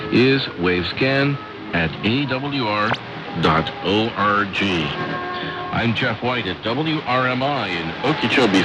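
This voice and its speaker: An American man hosting a shortwave radio programme, reading listener letters and announcements with clear enunciation. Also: lowish voice, low-quality recording. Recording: shortwave radio broadcast with music.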